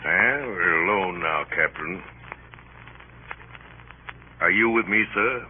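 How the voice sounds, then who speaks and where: An actor playing a grandiose, drunken, feverish missionary passenger, declaiming and rambling theatrically in a radio drama. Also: male voice, low-pitched, takes it slowly. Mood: sly, probing. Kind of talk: 1940s radio drama acting. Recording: tinny old radio broadcast, hissy.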